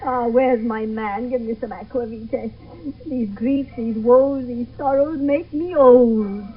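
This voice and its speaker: Distraught old nurse, radio drama, wailing, repetitive, highish voice, phone-like noisy vintage recording. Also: female voice, steady tone. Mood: bitter lament. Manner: wailing, repetitive, drawn-out lamenting. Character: distraught garrulous old nurse, faithful and lamenting. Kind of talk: 1970s radio Shakespeare acting.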